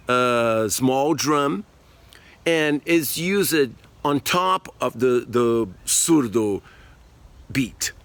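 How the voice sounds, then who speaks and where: Slow-spoken man teaching percussion. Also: medium pitch, a bit bright. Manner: slow, explanatory, counting beats aloud. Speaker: music teacher. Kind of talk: demonstrating percussion outdoors. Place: outdoors by a tree.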